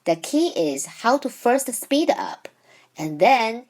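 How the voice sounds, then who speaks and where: A woman whose first language is Chinese, teaching Mandarin in a scripted lesson, speaking in a measured, clearly enunciated way and slowing down for example sentences. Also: on the high side, very animated, darkish tone.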